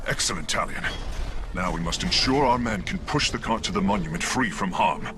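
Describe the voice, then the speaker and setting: An actor playing a resolute, commanding human rebel leader in a fantasy video game cutscene. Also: male voice, on the low side, animated, fairly quick, background noise, natural voice. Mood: grave, purposeful. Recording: dramatic music behind.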